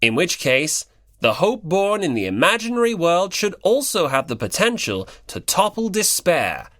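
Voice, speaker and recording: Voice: mid-range voice, sing-song, normal speed, a bit bright, no echo, clean studio sound. An audiobook narrator reading scripted fiction in the third person.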